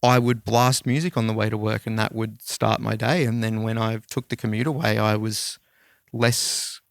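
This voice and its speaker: A man's voice: fairly low pitch, fairly even intonation, normal speed, vocal fry, dry recording, clean studio sound. An Australian man hosting a podcast, telling rambling stories in casual conversation. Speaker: podcast host.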